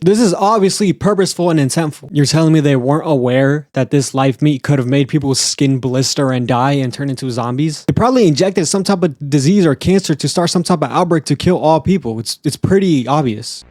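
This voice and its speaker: A man's voice: a little high, a little fast, few pauses, a little mellow, no room sound, clean studio sound. A man giving casual, slangy, sardonic reaction commentary as a streamer.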